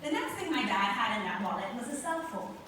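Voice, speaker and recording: A woman's voice: medium pitch, sing-song, brisk, sharp tone. A woman with a Bahamian accent giving an emphatic motivational stage speech, stretching key words for effect. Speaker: motivational speaker and show host. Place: large venue on stage with live audience. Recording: very echoey stage sound, distant, noisy.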